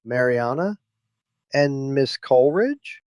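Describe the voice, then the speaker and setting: An actor playing a gruff, impatient police officer in an audio drama, brusque and exasperated. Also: male voice, mid-range voice, expressive, takes it slowly, a little mellow, dry recording, clean studio sound. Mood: impatient, suspicious.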